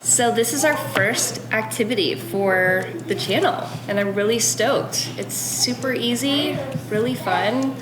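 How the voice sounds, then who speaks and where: A woman's voice: fairly high pitch, a little slow, hardly any pauses. A woman hosting a family craft vlog, chatting casually in a playful, self-deprecating way. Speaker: host of a parenting craft vlog. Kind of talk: casual chat to camera and family. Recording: roomy echo, loud music behind. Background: music.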